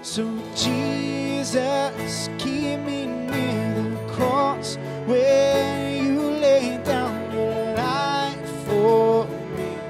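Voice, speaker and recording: A woman singing a slow worship song on a handheld mic in a large church sanctuary. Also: high voice, steady tone. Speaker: worship singer. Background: acoustic guitar and band.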